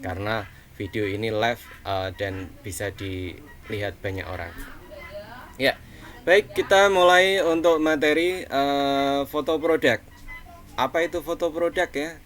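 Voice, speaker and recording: A man's voice: medium pitch, unhurried, fairly bright tone, faint music behind. A man with a Javanese accent teaching an online lecture in a conversational way, asking rhetorical questions and answering them himself, with frequent fillers and tag questions. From Central Java, Indonesia.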